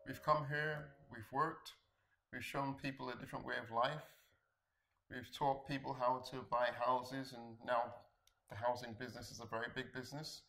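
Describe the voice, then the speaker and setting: A man from Britain with a mid-pitched, bright and forceful voice lays out his points one after another. His delivery is steady and assertive. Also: normal speed, soft background music. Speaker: documentary interviewee. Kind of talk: firm interview talk.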